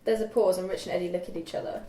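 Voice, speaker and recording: A woman's voice: lowish voice, fairly even intonation, rapid-fire, warm tone. A young woman reading scripted stage directions aloud at a table read. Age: young adult. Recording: roomy, distant mic, faint noise.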